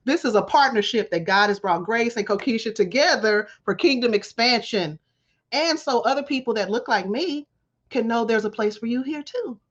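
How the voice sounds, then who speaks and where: A woman's voice: on the high side, lively intonation, moderate pace, highs cut off. A woman in her forties, a college dean from Texas, giving reflective testimony in an interview with emphatic, preachy phrasing. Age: 40s.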